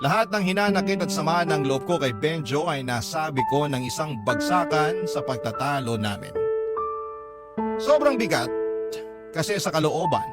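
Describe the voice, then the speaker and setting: A man's voice: fairly high pitch, expressive, moderate pace. A Filipino man narrating a first-person confessional story in a scripted, dramatic radio drama style. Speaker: narrator reading a letter sender's story. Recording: loud music behind the voice.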